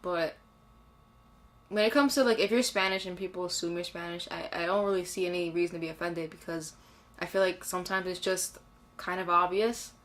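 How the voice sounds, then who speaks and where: A young woman with a slightly low voice gives thoughtful, plainspoken answers. Her voice comes across clean and close. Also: fairly even intonation, a little fast, a bit bright. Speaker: interviewee. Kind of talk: casual interview answers.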